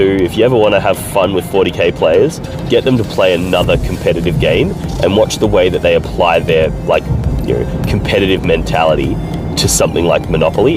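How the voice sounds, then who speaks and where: An Australian man with a cold and a nasal voice, chatting casually and swearing freely in a video diary recorded in his car at night. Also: low-pitched. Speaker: vlogger. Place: car, driving home at night.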